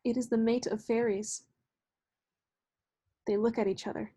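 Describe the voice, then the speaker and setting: A woman's voice: fairly high pitch, steady tone, measured pace, mellow, slightly rough. A woman reading stage directions as scripted narration for a staged play reading. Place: remote staged reading over video call. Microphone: video call microphone.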